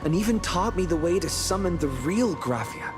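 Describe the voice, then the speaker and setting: A man's voice: sits high, moderate pace. An actor playing an earnest, intense young male companion in a dramatic video game. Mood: grim. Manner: animated, intense. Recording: loud music behind the voice.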